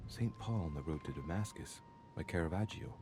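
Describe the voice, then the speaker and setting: An actor playing a brooding investigator in a video game, speaking in a measured, solemn and formal way. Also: male voice, rumbling low, lively intonation, background noise. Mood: pensive. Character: brooding male investigator examining religious paintings and scripture. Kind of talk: scripted video game voice acting.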